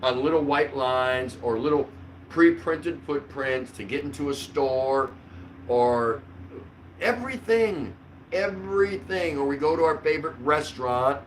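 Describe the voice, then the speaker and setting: A man's voice: on the high side, lively intonation, measured pace, a little hiss or hum, rough recording. An older man hosting a casual livestream, rambling along in a jokey, mildly profane way. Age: older, around 60. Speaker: livestream host. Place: small curtained room indoors.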